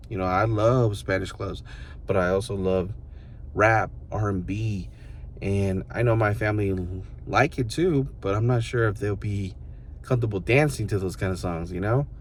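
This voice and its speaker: A man's voice: lowish voice, a little slow, a little hiss or hum. A man in his mid-thirties talking to his viewers in a loose, rambling, reflective way, full of fillers. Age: 30s. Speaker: vlogger. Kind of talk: casual reflective monologue to the camera.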